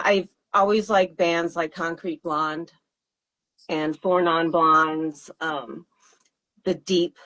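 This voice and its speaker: A woman with a fairly low voice, a singer-songwriter answering interview questions in a thoughtful, hesitant way with fillers and laughs. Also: a bit bright, lo-fi sound.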